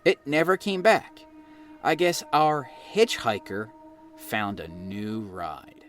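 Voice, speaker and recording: A middle-aged American man from New Jersey narrating his own eerie experiences with dramatic pauses. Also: on the low side, a bit bright. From New Jersey, United States. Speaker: guest storyteller reading own experience. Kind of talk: personal ghost story narration. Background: background music.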